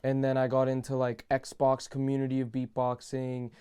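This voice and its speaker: A laid-back young man from Bulgaria, Bulgarian his first language, answering interview questions as a guest beatboxer. His voice is smooth and clear, and he keeps dropping "bro" into his easygoing replies. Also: medium pitch, monotone, moderate pace, mellow. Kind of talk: casual interview chat.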